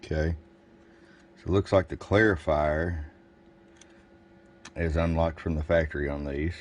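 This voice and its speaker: A man's voice: rumbling low, fairly even intonation, a little slow. A man giving a casual bench review of gear, plain-spoken and thinking aloud as he goes. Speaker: product reviewer. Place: indoor electronics workbench. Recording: faint background noise.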